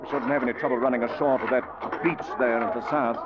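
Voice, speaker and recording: A man's voice: medium pitch, fairly even intonation, quick pace, highs cut off, lightly acted. Man acting a resolute sea captain, noisy old radio. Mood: commanding. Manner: commanding, resolute. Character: stern, fearless young sea captain facing doom. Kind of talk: 1940s radio drama acting.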